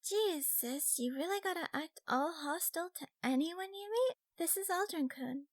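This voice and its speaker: Woman playing a perky high-voiced young catgirl. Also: animated, normal speed, dry recording, studio quality. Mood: cheerful. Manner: playful, teasing. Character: perky, teasing young catgirl mage, a sister. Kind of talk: audiobook character acting.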